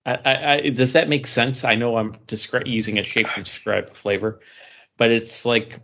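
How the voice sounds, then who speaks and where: A man with a medium-pitched voice hosts a podcast in a casual, conversational style. The sound is slightly dull and lo-fi. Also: fairly even intonation, normal speed, creaky, fairly bright tone. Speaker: podcast host. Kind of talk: casual chat.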